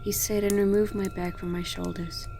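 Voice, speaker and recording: A woman narrates a first-person horror story with a dark, mellow tone. Her delivery is sombre and controlled, with little pitch movement, over faint background music. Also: level tone, normal speed, creaky.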